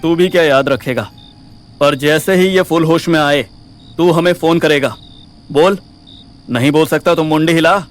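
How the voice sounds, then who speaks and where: A man's voice: on the high side, normal speed, pauses often, natural voice. A man playing a brash, swaggering, foul-mouthed young sleuth in an audio drama, talking in bossy slang. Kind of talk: acted audio drama dialogue.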